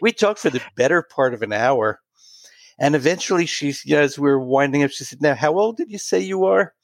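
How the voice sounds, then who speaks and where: A man in his late sixties telling a personal story in an interview, pausing and restarting often. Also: a little high, expressive, creaky, dry recording. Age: 60s. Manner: warm, halting anecdotal storytelling with many restarts. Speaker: guest.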